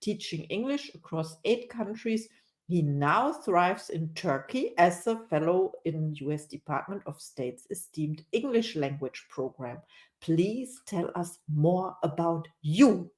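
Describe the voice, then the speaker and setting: A woman's voice: fairly low pitch, expressive, takes it slowly, a little mellow. A woman hosting an interview podcast who reads a short scripted introduction and responds to her guest with affirming remarks.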